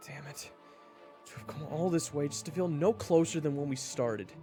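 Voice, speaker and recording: A man's voice: a little high, moderate pace, close to an everyday voice. A man playing a nervous, incredulous young adventurer in a fantasy audio drama, casual and easily flustered. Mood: frustrated, incredulous. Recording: roomy sound under loud music.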